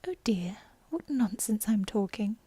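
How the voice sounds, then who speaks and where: A woman's voice: medium pitch, animated, a little slow, mellow, breathy edge, dry recording. A woman voicing a curious, dreamy young girl from a storybook, talking to herself in exclamations and musings. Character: curious, dreamy, self-scolding young girl, prone to chatter.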